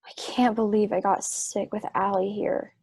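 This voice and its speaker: An actress playing a sick, weakened, trusting wife in a dramatic stage play reading, speaking wearily. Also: female voice, medium pitch, flat delivery, normal speed, a little mellow, breathy edge, dry recording, clean studio sound, close to an everyday voice. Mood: weak, weary. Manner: weak, weary.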